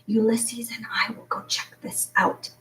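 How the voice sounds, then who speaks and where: A woman's voice: fairly high pitch, flat delivery, a little slow, sharp tone, whispered. A woman voicing a suspicious, determined young girl in a storybook, speaking emphatically. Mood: wary, insistent. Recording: roomy echo, distant from mic.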